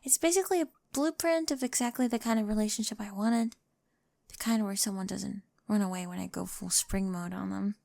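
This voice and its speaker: A woman's voice: medium pitch, fairly quick, vocal fry, darkish tone, dry recording, lightly acted. A woman playing an awkward, nerdy, affectionate young girlfriend in an ASMR roleplay, hesitant but precise, often dropping toward a whisper, close to the microphone. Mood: earnest, shy. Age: young adult.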